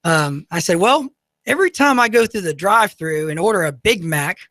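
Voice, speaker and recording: A man in his thirties with an unusually high voice, hosting a radio talk show in an upbeat, motivational way with many fillers. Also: animated, normal speed, sharp tone, dry recording, studio quality. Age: 30s. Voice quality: high, unusual voice often mistaken for a woman. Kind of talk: talk radio monologue with ad reads. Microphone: studio microphone.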